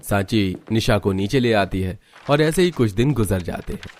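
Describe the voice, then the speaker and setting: A man's voice: lowish voice, expressive, moderate pace, a little mellow. A man reading scripted narration for a cartoon family story.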